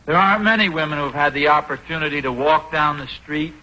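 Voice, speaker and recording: A man's voice: fairly high pitch, fairly quick, bright, forceful tone. An American actor playing a sheepish, self-pitying husband and father in a 1940s radio comedy. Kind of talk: 1940s radio sitcom acting. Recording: noisy, muffled 1940s radio recording.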